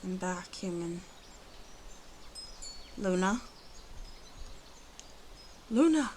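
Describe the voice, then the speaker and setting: A woman playing a proud, guarded but protective female werewolf in an ASMR roleplay, speaking haltingly and hushed, often dropping into whispers. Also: lowish voice, expressive, slight background noise. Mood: worried, relieved.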